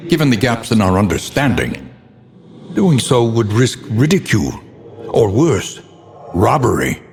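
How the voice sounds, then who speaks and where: Deep-voiced, solemn gothic scholar narrator. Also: male voice, very animated, a little mellow, slight background noise. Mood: grave, brooding. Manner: solemn, ornate, deliberate diction. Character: grave, erudite older male scholar recounting dark occult past. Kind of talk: dramatic scripted gothic narration. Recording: long cavernous reverb.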